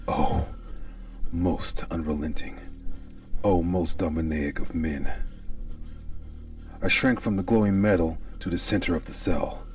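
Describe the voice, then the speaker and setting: A man's voice: low-pitched, a little slow, pauses often, darkish tone, far from the mic. A man giving a theatrical, anguished and intense first-person reading of a gothic horror story.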